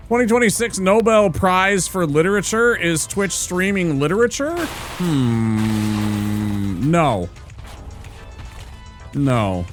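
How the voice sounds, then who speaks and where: Relaxed man chatting on a game stream. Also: a little high, slow pace, faint music behind. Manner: relaxed, chatty, muses aloud to chat. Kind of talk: casual live-stream chat while playing.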